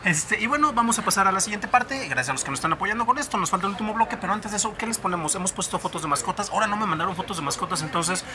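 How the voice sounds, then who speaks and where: A man's voice: sits high, racing, hardly any pauses. A man with a Mexican accent, co-hosting a podcast in casual chat and teasing his co-host playfully. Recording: crowd chatter behind.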